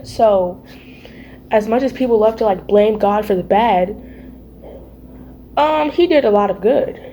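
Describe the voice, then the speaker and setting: A teenage girl with a raspy, mellow voice, talking loosely and playfully about her faith and calling her listeners baby and y'all. There is a faint hum of room noise behind her. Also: mid-range voice, a little slow, poor audio. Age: teens. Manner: chatty and playful, calls viewers y'all and baby. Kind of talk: casual faith vlog chat. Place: home, early morning.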